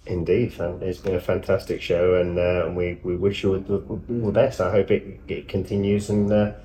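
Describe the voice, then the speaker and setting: Very deep-voiced male podcast host. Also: flat delivery, moderate pace, dark tone, low-quality recording. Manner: chatty, hesitant restarts, chuckling asides. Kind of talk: casual podcast chat.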